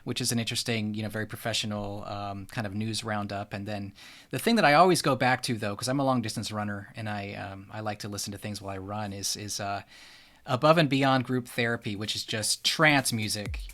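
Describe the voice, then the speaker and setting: A man's voice: on the low side, steady tone, brisk, a bit bright. An American man hosts a podcast in an informal, chatty, conversational way. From United States. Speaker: podcast host.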